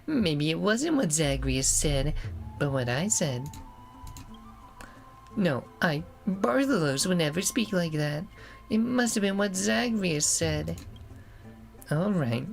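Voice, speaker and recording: A sly trickster woman from a video game, speaking slowly with a creaky edge. She teases and philosophizes theatrically, like an old friend setting out a riddle. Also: lowish voice, exaggerated voice. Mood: wistful, knowing. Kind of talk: scripted video game character acting.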